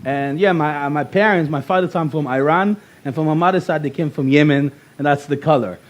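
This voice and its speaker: Middle-aged Israeli-born speaker, high voice, punchy and repetitive, workshop presenter. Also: male voice, brisk. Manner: animated, emphatic, repeats key phrases. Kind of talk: motivational workshop talk.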